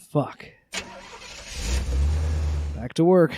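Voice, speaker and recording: An actor playing a sarcastic, flustered young government agent in an audio drama. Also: male voice, fairly high pitch, lively intonation, drawn-out words, in a large hall, noisy background.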